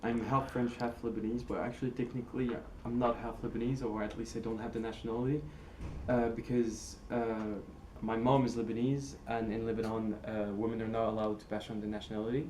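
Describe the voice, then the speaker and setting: A young man and student explaining his background in a thoughtful, halting way with many pauses. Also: on the low side, little pitch movement, darkish tone, slight background noise. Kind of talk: casual testimonial.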